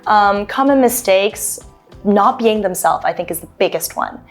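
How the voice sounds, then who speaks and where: A woman's voice: mid-range voice, measured pace, faint music behind. A woman from Toronto, an actor chatting loosely as a guest, giving advice with many ums and restarts. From Toronto, Canada. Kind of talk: casual interview advice.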